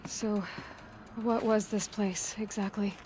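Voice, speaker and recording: A woman's voice: mid-range voice, little pitch movement, slow pace, background noise, close to an everyday voice. A woman playing a curious, determined young hunter in a video game. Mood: curious, puzzled. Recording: loud music behind the voice. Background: loud music.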